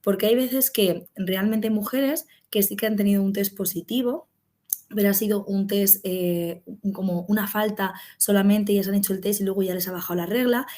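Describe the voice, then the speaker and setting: A woman's voice: mid-range voice, moderate pace, dark tone. Woman doctor giving a casual medical talk. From Spain. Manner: conversational, frequent fillers and tag questions. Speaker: gynecologist and clinic medical director. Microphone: video call.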